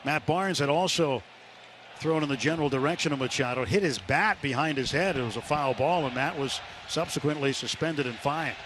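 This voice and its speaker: A male American play-by-play announcer with a fairly high voice. He calls baseball live on the radio from a stadium booth, with loud ballpark noise all around him. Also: brisk. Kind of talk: live sports commentary. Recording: noisy ballpark radio broadcast.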